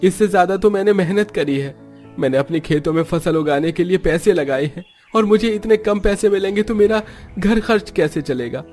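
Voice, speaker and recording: An actor playing a poor, troubled but patient young farmer husband in a cartoon story. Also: male voice, sits high, moderate pace, a little mellow, soft background music, lightly acted. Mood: pleading.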